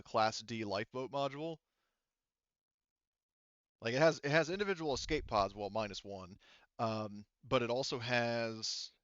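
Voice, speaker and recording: Male game master, smooth clear voice, casual explaining, halting and wordy, slightly dull recording. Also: medium pitch, a bit bright, dry recording. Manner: halting, self-interrupting, wordy.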